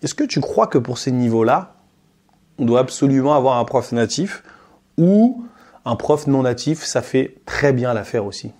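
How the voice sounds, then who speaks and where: A man chatting informally as an interviewer and fellow teacher, using relaxed everyday slang. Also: medium pitch, moderate pace. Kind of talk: casual chat, informal slang.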